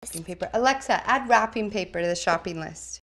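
A woman's voice: medium pitch, fairly quick, a bit bright, natural voice. A woman playing an everyday suburban mom in a naturalistic commercial. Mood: casual, matter-of-fact. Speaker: mother in a commercial.

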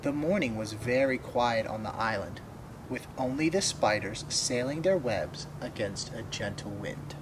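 A man's voice: medium pitch, expressive, measured pace. A young man reading a children's storybook aloud expressively, with asides and questions to young listeners. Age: young adult. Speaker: storybook reader. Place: outdoors by a wooden fence. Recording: clearly noisy outdoor ambience.

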